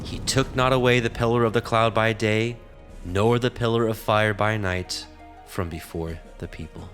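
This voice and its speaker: Low-voiced male narrator reading scripture solemnly. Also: steady tone, a bit bright, faint music behind. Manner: measured, solemn.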